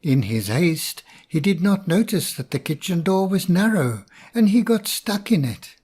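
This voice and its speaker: A man narrating a fairy tale in a measured, even reading. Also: sits high, breathy edge. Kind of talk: storybook narration.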